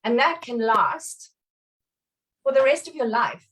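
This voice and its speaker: A woman's voice: a little high, expressive, takes it slowly, fairly bright tone. A middle-aged woman with a South African accent touched by Australian, giving an informal explanatory talk as a guest. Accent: South African with a slight Australian influence. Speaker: guest speaker. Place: online live video call. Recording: distant mic on online call.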